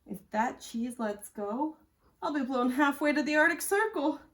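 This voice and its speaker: A woman's voice: high voice, animated, unhurried, fairly bright tone, voice pushed up. A woman voicing a mild, earnest male pet dog musing to himself in a storybook, recorded at a distance from the microphone. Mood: strained, anxious.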